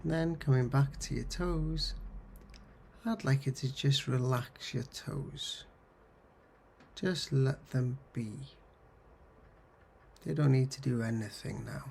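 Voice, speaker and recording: A man leading a guided meditation in a husky, gravelly voice, giving gentle, soothing instructions in short phrases with pauses. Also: mid-range voice, slight background noise. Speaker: counsellor guiding mindfulness. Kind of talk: guided meditation, body scan.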